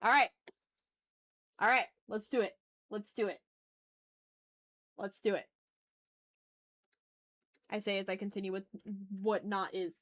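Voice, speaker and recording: A woman's voice: medium pitch, fairly even intonation, a little slow, long pauses, fairly bright tone, no echo. A woman livestreaming as she draws, chatting casually in slang and muttering to herself with playfully dramatic, exasperated asides. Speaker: art livestreamer, host.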